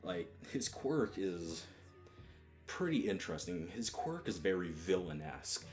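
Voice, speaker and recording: A man giving a casual solo video review, talking in a mostly flat, monotone way and restarting his sentences often. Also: low voice, a little slow, soft background music. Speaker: manga reviewer.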